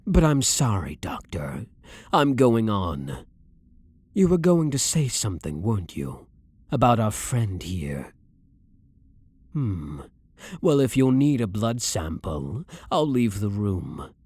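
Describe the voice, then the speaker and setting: A man playing a blunt, brooding vampire hunter, himself a vampire, in a measured, dominant ASMR roleplay with a breathy voice. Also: lowish voice, very animated, a little mellow, dry recording, exaggerated voice. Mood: weary, wry. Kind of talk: ASMR roleplay, dramatic monologue.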